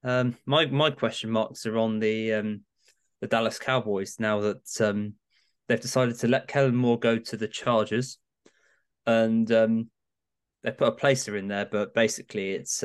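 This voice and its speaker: A man with a medium-pitched voice talks as a guest pundit on a sports podcast. His delivery is conversational and analytical, like an informal chat. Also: steady tone, a little slow.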